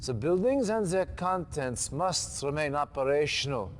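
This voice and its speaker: A man's voice: fairly high pitch, expressive, measured pace, slight background noise. An actor playing a firm male military officer briefing a subordinate in a game cutscene. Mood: commanding. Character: stern male military commander giving orders.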